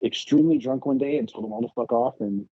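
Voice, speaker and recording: A man's voice: medium pitch, fast talker, breathy edge, no room sound, dull audio. A man telling stories casually as a podcast guest, swearing freely and acting out the things people said. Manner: profane, animated re-enacting of past arguments. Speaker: podcast guest, punk rock guitarist. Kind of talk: casual storytelling chat.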